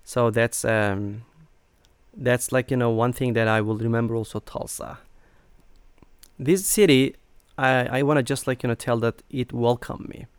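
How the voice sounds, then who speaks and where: A middle-aged man from Turkey, speaking as an interview guest in a halting way with many fillers. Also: medium pitch, fairly even intonation, clear, resonant tone, no echo. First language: Turkish. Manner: hesitant, many fillers and restarts. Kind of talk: conversational interview.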